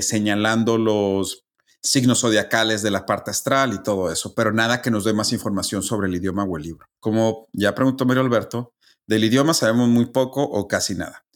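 A man's voice: lowish voice, brisk. A man, hosting a casual podcast and methodically explaining facts step by step, often restarting his phrases. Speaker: podcast host.

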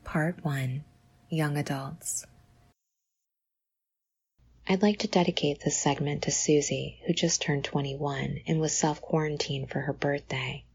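A middle-aged woman narrates her podcast in a low, gravelly voice with a husky edge. Her delivery is earnest and measured, with soft music under her. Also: fairly even intonation. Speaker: podcast host.

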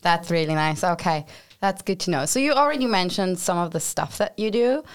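A woman's voice: on the low side, expressive, fairly quick, clean tone. A woman hosting a podcast, talking casually as she interviews her guests. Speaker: podcast host.

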